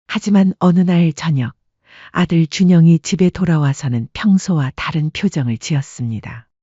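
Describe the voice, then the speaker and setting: Male narrator, measured storytelling, husky and mellow, dry dull sound. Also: fairly high pitch, dry recording.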